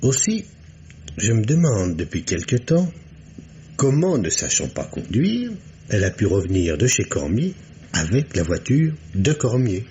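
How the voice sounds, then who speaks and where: An actor playing a sardonic middle-aged police commissioner in a French radio mystery, with a dry, ironic delivery. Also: male voice, on the low side, sing-song, unhurried, dark tone, slight background noise. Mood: musing. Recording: muffled vintage radio recording.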